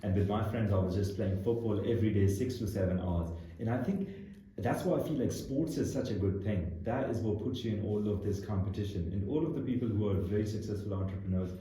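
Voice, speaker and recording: Young man from Pakistan, founder guest, low dark voice, earnest and searching, strong room echo. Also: brisk, a little hiss or hum. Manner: earnest, thinks aloud with restarts and laughs. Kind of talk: casual interview conversation. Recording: very echoey room.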